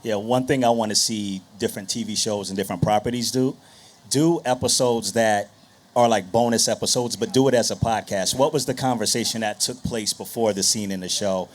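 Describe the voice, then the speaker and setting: A man's voice: on the low side, fairly quick. A man hosting a live conference panel, speaking in an informal, promotional way. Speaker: panel moderator, podcast host.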